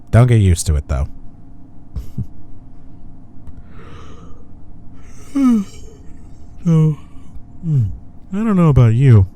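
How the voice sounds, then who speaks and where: Young male office rival for intimate audio drama, played by a man: dark mellow tone, lively intonation, smug playful banter. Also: fairly low pitch, a little hiss or hum. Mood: relaxed, amused. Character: teasing, smug young male office rival softening toward his coworker. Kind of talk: intimate roleplay audio acting, one-sided conversation.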